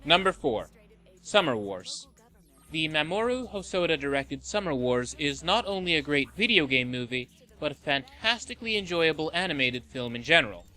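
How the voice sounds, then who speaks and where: A man's voice: a little high, big pitch swings, moderate pace, pushed and bright. A man reading a scripted review narration as the host of a countdown list video.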